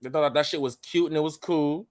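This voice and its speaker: A man in his twenties who grew up in the United States, a show guest talking in casual chat, blunt and calm while he cusses. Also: fairly high pitch, quick pace, dry recording. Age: 20s.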